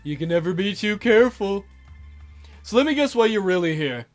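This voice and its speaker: A man voicing a smug, scheming male relic dealer who talks in a slow, mock-polite drawl. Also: sits high, lively intonation, soft background music. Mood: smug menacing.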